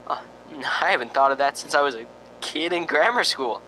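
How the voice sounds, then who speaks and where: A man's voice: a little high, expressive, brisk, pushed and bright, slight background noise, poor audio. A man playing a nervous, lonely radio reporter in a spooky radio drama, stammering and rambling with sighing ohs. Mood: wistful, nervous. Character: jittery, lonely radio reporter broadcasting from a haunted house.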